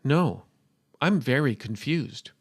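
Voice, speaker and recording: A man's voice: medium pitch, animated, slow pace, husky, no echo, clean studio sound, voice pushed up. A man voicing a curious, stubborn young girl who asks insistent questions, as a storybook character. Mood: confused, trusting. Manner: inquisitive, insistent.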